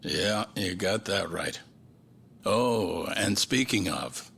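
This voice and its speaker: Casting: elderly law firm boss for a game drama, low gravelly voice, unhurried, stern but wry. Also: male voice, lively intonation, noisy background, full character voice. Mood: dry, knowing. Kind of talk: video game cutscene acting.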